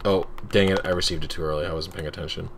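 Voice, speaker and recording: A man's voice: low-pitched, steady tone, rapid-fire, a little hiss or hum. A man casually chatting and muttering to himself while playing a video game on a livestream. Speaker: streamer.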